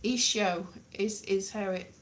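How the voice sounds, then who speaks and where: A woman, perhaps in her late thirties, chatting casually to camera in an animated, rambling, dryly jokey way. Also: medium pitch, moderate pace. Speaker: vlogger, language learner. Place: small plain room indoors.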